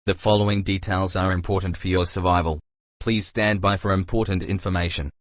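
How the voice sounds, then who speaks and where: A man playing a solemn emergency alert announcer, reading scripted warnings in a formal, measured way. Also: deep voice, fairly even intonation, no room sound, studio quality, lightly acted. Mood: grave, urgent.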